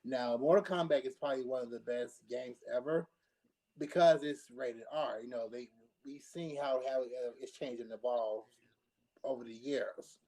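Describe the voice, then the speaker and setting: Average-pitched male voice, casual discussion, measured, tentative phrasing, diplomatic. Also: warm tone, rough recording. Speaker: panelist.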